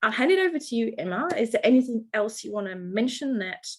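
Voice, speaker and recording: A woman's voice: on the high side, animated, fast talker. A woman hosting a podcast, introducing her guest in an enthusiastic way with many ums. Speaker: podcast host. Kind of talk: casual interview chat.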